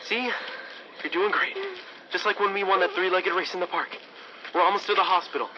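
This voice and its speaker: Casting: actor for eager, reassuring teen boyfriend in a radio serial, lighter high register, narrow-band old broadcast. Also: male voice, fairly even intonation, brisk, natural voice. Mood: worried, reassuring. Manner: eager, earnest. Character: earnest, reassuring teenage boyfriend with a hot rod. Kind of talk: old-time radio drama acting. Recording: band-limited vintage radio, loud effects noise.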